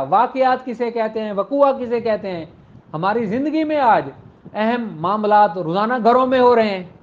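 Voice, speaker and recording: A man with a Pakistani accent giving an informal explanatory law lecture, didactic and emphatic, repeating key phrases. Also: very high-pitched. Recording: dull lo-fi audio.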